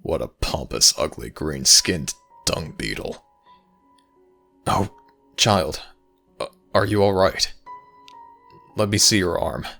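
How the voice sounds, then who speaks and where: Male actor as god of the dead, extremely low gravelly voice, formal archaic speech, gruffly caring, ASMR roleplay. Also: expressive, bright, forceful tone, soft background music, exaggerated voice. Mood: irritated, scornful, then gentle concern. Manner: formal, measured, archaic phrasing, addressing a listener as child. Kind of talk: ASMR audio roleplay, slow intimate dramatic monologue.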